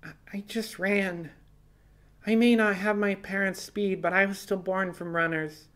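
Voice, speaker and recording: A man plays a young woman hacker on the run in an audiobook, pitched well up high. The figure is defiant and earnest, explaining in an urgent flow with wry little asides. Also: fairly even intonation, unhurried, a bit bright. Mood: rueful, agitated. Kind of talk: audiobook character dialogue.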